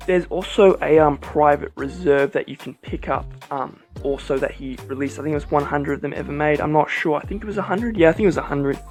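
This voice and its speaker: A fairly high-voiced man hosting a casual card review vlog. He talks in a relaxed, rambling way with plenty of "um"s, over faint music in the background. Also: a little fast, few pauses. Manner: relaxed, rambling, many fillers.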